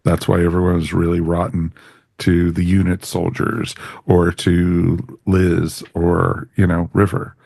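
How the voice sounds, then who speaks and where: A man with a very low, gravelly voice hosts a casual review podcast. He speaks conversationally, moving the discussion along. The sound is dry and close, with no room around it. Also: completely flat delivery, a little slow, darkish tone, dry recording. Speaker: podcast host.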